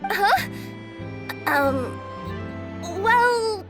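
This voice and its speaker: A young female cat-spirit courier speaks in a high, girlish video game voice. She is flustered, earnest and chatty, often hesitant and apologetic. Music plays clearly behind her. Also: animated, very slow, exaggerated voice. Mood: reluctant. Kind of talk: English video game voice acting.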